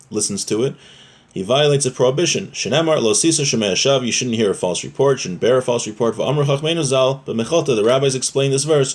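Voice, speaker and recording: A man giving an informal religious lesson, reading from a text and explaining it plainly as a teacher. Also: medium pitch. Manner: explanatory, restating points in plain words.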